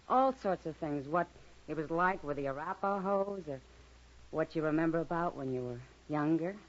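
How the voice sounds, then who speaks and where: A woman playing a caring, gently firm frontier townswoman in a radio western. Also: sits low. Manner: tender, soothing with a child. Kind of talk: 1950s radio western acting. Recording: dull old radio recording.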